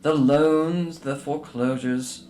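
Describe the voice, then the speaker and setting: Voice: medium pitch, steady tone, slow pace, darkish tone, a little hiss or hum, lightly acted. An actor playing a bitter, miserly old businessman who grumbles and scolds curtly in a radio drama. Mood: wistful.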